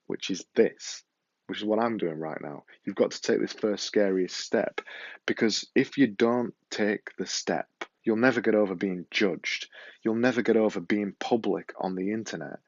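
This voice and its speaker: Yorkshire man, vlog host; earnest, self-mocking delivery, lowish voice, dry dull recording. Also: no room sound. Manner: earnest, self-deprecating, repetitive. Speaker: vlogger and podcast host. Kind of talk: casual talking-head vlog. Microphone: camera mic, speaking to camera.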